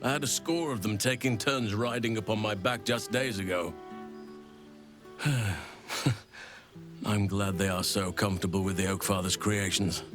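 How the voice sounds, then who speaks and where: An actor playing a kindly old druid in a video game, speaking in a measured, reflective way with formal wording. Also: male voice, fairly low pitch, fairly even intonation, music in the background, natural voice. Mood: reflective, content. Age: older. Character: gentle, kindly old druid man, wise and fond of children. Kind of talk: video game character dialogue.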